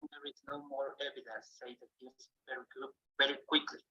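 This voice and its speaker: A man from El Salvador, a student reading a passage aloud carefully and haltingly on a call. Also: medium pitch, steady tone, pushed and bright, lo-fi sound. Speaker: student guest.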